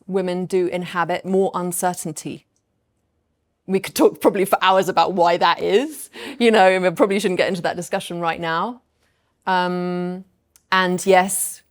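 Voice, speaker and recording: A woman voice coach on a panel, explaining her ideas to an audience in a thoughtful, measured way with light laughs. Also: on the low side. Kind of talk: panel talk answering audience questions.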